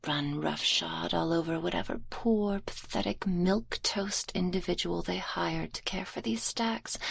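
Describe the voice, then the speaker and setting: A woman's voice: fairly low pitch, creaky, husky, no room sound, exaggerated voice. A woman playing a stern, teasing, domineering school librarian, scolding sarcastically in an intimate, half-whispered ASMR roleplay on a close binaural microphone.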